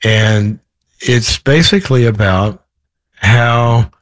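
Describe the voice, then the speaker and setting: A man with a hoarse voice, a guest in an interview, talking in a thoughtful, rambling way with many restarts. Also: mid-range voice, slow pace, a bit bright, dry recording. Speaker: author guest. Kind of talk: podcast interview.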